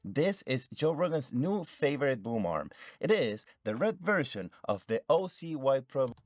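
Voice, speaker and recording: A man's voice: medium pitch, sing-song, a little slow, no room sound, clean studio sound, soft background music. A man in his forties presenting a scripted product review to camera. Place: indoor studio room. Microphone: microphone on a boom arm, up close.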